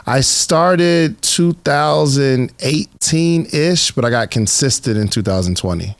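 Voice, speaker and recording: A man's voice: mid-range voice, takes it slowly, dry recording. Casual male podcast host, dry close sound. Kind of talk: casual conversational interviewing.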